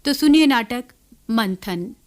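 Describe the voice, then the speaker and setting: Slow, measured woman radio announcer. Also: a little high, expressive, faint music behind. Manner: measured, deliberate. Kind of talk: formal scripted announcement.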